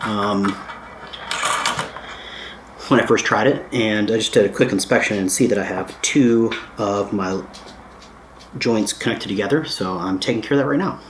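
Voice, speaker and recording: A man's voice: on the low side, normal speed, long pauses, noisy background. An American man giving a casual, hands-on DIY repair tutorial in a laid-back, playful, informal way. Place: indoors at home, windows open.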